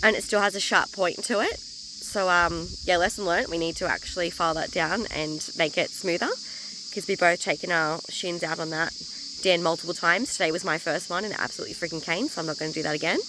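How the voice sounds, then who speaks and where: A woman's voice: on the low side, steady tone, a little fast, noisy background. A woman with an Australian accent chatting frankly to camera about her caravan trip, rambling a little and losing her voice from illness. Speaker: travel vlogger. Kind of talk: casual chat to camera.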